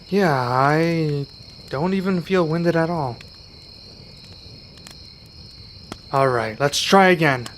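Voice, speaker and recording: Man acting earnest young werewolf, unhurried, lightish voice. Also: a little high, lively intonation, a little hiss or hum. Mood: determined. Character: earnest, grateful young male werewolf learning magic. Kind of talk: ASMR roleplay acting.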